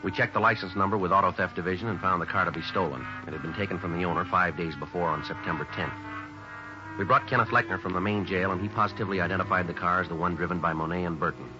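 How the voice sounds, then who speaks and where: Male actor as police sergeant, terse, deadpan, fast procedural narration, 1950s radio drama, music in the recording. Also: sits low, lo-fi sound, close to an everyday voice. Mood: flat, factual.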